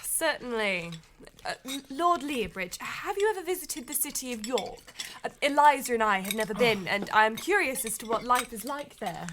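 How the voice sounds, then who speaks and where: A woman's voice: on the high side, lively intonation, normal speed, a bit bright, slight background noise. A woman playing a polite, hesitant young upper-class bride-to-be in a period radio drama. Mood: timid, polite. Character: timid, sheltered young upper-class bride-to-be, quietly discontented.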